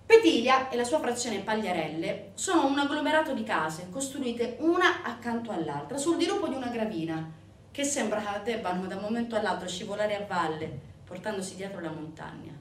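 A woman performing a dramatic scripted theatre narration, telling the story emphatically with deliberate pauses and repetitions. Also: mid-range voice, animated. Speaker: stage actress performing a theatre monologue. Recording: echoey room from a distance, faint noise.

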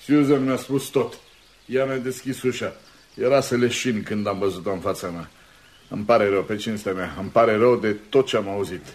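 An actor playing a loyal, plain-spoken helper in a radio drama, hearty and sincere. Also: male voice, lowish voice, close to an everyday voice. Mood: sincere, eager. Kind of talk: Romanian radio drama acting.

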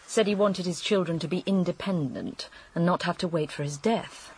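An actress playing a candid, world-weary English widow in a radio drama, confiding and matter-of-fact. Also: female voice, on the low side, normal speed, darkish tone, a little hiss or hum, lightly acted, rough recording. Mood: confiding, resigned.

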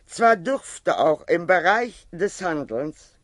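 High-pitched man reading a formal essay. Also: very animated, takes it slowly, a bit bright, no echo.

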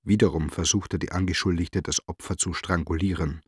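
Cold official reading a police report, radio drama, deep raspy voice, totally matter of fact. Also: male voice, steady tone, fairly quick, dry recording, clean studio sound. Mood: detached, factual. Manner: matter-of-fact, bureaucratic, detached. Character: impassive official reading out a police interrogation record.

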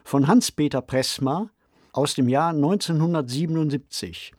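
Measured man in a dry studio. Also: on the high side, a little mellow, no echo. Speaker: podcast co-host. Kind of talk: reflective discussion of a radio play.

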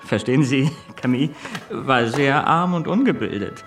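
A man's voice: medium pitch, lively intonation, moderate pace, slight background noise, close to an everyday voice. An actor playing a reminiscing, nervous man of humble origins in a German radio drama. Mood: wistful.

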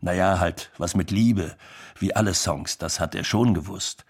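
A record producer reminisces in a radio play. His voice is low and husky, and he talks in a matter-of-fact, conversational way. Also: unhurried, full character voice. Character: matter-of-fact male record producer recalling a young singer. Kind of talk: radio drama monologue.

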